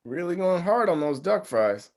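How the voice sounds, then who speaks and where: A man's voice: high voice, very animated, normal speed, darkish tone. A man playing a mild, sensible groom with a hesitant, understated manner in a comedy table read. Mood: wry. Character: mild, sensible groom, easily talked round.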